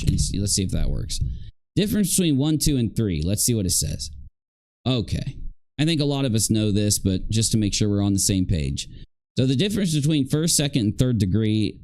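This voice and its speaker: A man's voice: on the low side, a little mellow. A man hosting a livestream, giving casual, rambling commentary and reading aloud with grumbling, joking asides.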